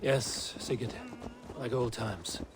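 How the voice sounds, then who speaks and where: An actor playing a level-headed, wary Viking warrior in a video game, speaking in a measured, sober way. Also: male voice, medium pitch, background noise, lightly acted.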